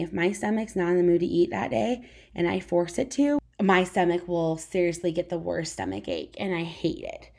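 A woman's voice: on the low side, vocal fry, darkish tone. A young woman with a scratchy voice talking frankly and casually to camera, full of "like" fillers. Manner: frank, conversational, many "like" fillers. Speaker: vlogger. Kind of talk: candid personal talk to camera.

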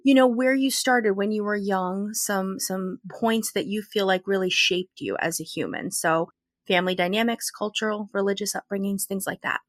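A woman's voice: mid-range voice, normal speed, no room sound, clean studio sound. A woman hosting an interview podcast, asking thoughtful questions in a conversational way. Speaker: podcast host.

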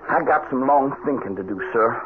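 An actor playing an earnest, stubborn young cowhand back from soldiering, with an American Western accent. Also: male voice, medium pitch, brisk, lightly acted. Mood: bitter, determined. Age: young adult. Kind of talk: old-time radio western acting. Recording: noisy old radio broadcast, narrow band.

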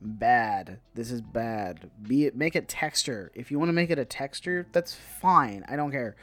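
A man co-hosting a podcast in casual nerdy banter, rambling haltingly and often repeating himself. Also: mid-range voice, expressive, a bit bright, no room sound. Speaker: podcast co-host. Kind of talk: casual nerd-news banter.

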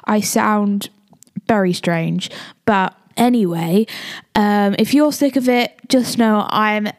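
A young woman with a croaky voice, hosting a casual solo podcast and rambling off topic. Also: mid-range voice, takes it slowly, no room sound, clean studio sound. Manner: chatty, rambling, drifts off topic. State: croaky voice from illness. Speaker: podcast host.

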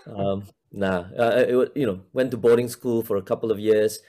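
Minister guy from malaysia, mellow voice, lots of ums and restarts, video call chat. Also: fairly low pitch, steady tone, normal speed. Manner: hesitant, many fillers and restarts. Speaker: church minister, guest. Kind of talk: casual interview talk.

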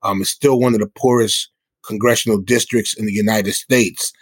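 A man's voice: lowish voice, fairly even intonation, normal speed, husky, no room sound. Earnest man, dry sound. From South Bronx, New York City. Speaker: hip hop musician and guest. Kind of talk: conversational interview answers.

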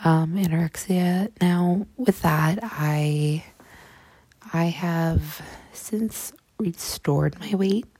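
A young woman with a low, husky voice tells her own story slowly and haltingly, stopping often and starting again. Her tone is dark and muffled, and the recording is dry and close. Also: no room sound. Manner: halting, soft-spoken, many fillers and restarts. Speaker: podcast host. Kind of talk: personal storytelling monologue.

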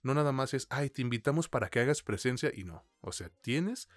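A man's voice: mid-range voice, animated, fairly quick, dry recording, faint music behind. A man with a Mexican accent hosting a film review podcast in a colloquial, slangy way. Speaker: podcast host.

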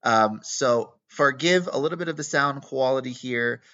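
Bright-voiced man, lo-fi conference room. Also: medium pitch, normal speed. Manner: chatty, many ums and you-knows. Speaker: podcast host. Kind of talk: casual interview. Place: conference room with poor acoustics. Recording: lo-fi, dull sound.

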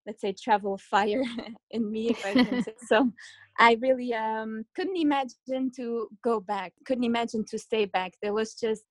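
A young woman from Kazakhstan raised in Germany, speaking as an interview guest in a relaxed, chatty way. Her voice sits a little high, and she laughs easily between thoughts. The sound is dry and close. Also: no echo. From Kazakhstan, grew up in Germany. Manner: laughs often, hedges with "let's say". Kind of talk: casual conversational interview.

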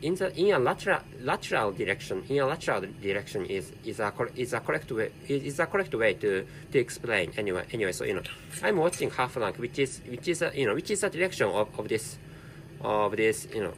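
A man whose first language is Japanese, speaking English with a Japanese accent in a halting solo commentary, repeating words and correcting himself. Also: mid-range voice, expressive, fairly bright tone, slight background noise, poor audio. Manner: halting, with heavy repetition and self-correction. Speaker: podcast host.

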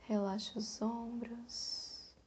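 A Brazilian woman guiding a meditation in a soft, breathy, calm voice, leaving long pauses between short phrases. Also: a little high, a little hiss or hum. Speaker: meditation guide. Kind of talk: guided meditation.

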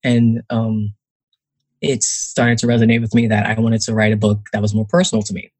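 A man's voice: lowish voice, monotone, a little fast, a little mellow, dry recording, low-quality recording. A young man from New Jersey, a guest author, chatting casually with many fillers and acted-out quotes. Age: young adult. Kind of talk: casual interview talk.

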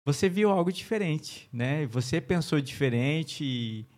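A man's voice: on the high side, expressive, a little slow, a little mellow. A man hosting an interview, asking a pastor questions in a chatty, enthusiastic way. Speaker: interview host. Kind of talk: casual interview conversation.

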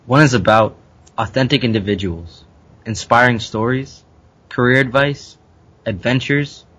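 A man's voice: mid-range voice, a little slow, fairly bright tone, husky. A young man, a university student from Guyana, answering interview questions earnestly and repeating words as he thinks. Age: young adult.

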